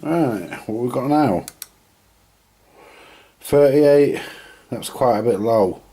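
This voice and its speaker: A man with a husky voice, giving informal, unscripted hands-on commentary as he mutters through a repair, now and then mildly sweary. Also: medium pitch, expressive, takes it slowly, dark tone. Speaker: host of a vintage radio repair video.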